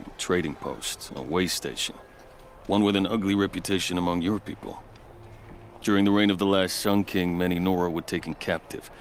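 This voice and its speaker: An actor playing a steady, authoritative captain of a border outpost, speaking in a measured, formal, earnest way in a video game. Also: male voice, deep voice, a little hiss or hum, lightly acted. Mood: measured, somber. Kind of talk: video game dialogue acting.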